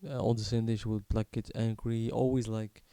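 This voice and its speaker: Very dark, mellow voice of a young man. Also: on the low side, level tone, clean tone, no room sound. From Morocco. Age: 20s. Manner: halting, candid, casually sweary. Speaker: video creator telling his life story. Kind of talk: unscripted personal storytelling to camera.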